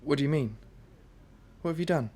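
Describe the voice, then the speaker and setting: A man's voice: a little high, fairly quick, mellow, natural voice. Guy playing a nervous young musician with schizophrenia, stammers and restarts, radio play. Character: young man with schizophrenia, anxious, hopeful musician. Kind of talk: radio drama acting.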